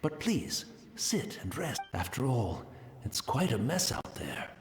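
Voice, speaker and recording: A man's voice: medium pitch, wildly swooping pitch, slow pace. A man voicing a humble, courteous male tea master and retainer in a video game, deferential and formally polite. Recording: long echoey reverb, faint noise.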